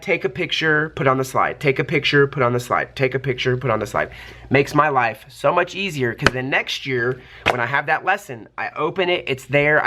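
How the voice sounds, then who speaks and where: Slightly hoarse man vlogging casually. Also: fairly high pitch, bright, forceful tone. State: a little congested and hoarse from coughing. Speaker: teacher and vlogger. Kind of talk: casual vlog with tips.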